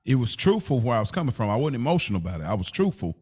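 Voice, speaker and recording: An American man speaks in a mid-range voice as a podcast co-host. He debates in a conversational way, probing with questions and reworking his phrasing haltingly as he goes. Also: mid-range voice, very animated, darkish tone, husky.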